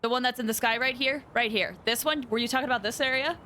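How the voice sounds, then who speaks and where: A woman game streamer chatting casually with her viewers in an excitable, chatty manner. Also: on the high side, quick pace, slight background noise. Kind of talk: casual livestream chat with viewers.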